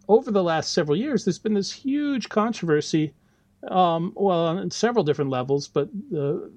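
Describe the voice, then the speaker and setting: A middle-aged American man, a biology lecturer, speaking as a podcast guest in a relaxed, explanatory way with frequent ums and some laughs. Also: fairly high pitch, no room sound. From United States. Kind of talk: casual interview talk.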